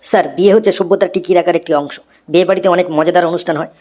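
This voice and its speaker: A man's voice: high-pitched, steady tone, rapid-fire, darkish tone, close to an everyday voice. A man voicing a cheeky, unflappable schoolboy in a comedy cartoon, reciting essays by rote. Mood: earnest reciting.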